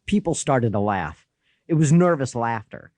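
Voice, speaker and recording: A man narrating a children's story in the first person, with an animated, boyish storytelling manner. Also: medium pitch, moderate pace, dry recording. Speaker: audiobook narrator.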